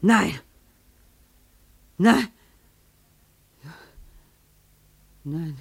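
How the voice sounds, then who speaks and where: A woman's voice: fairly low pitch, extremely slow, gravelly, slightly rough, exaggerated voice. An actress playing a querulous, obsessive, aggrieved woman litigant, insistent and repetitive, in a radio drama. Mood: numb refusal. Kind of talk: German radio drama acting.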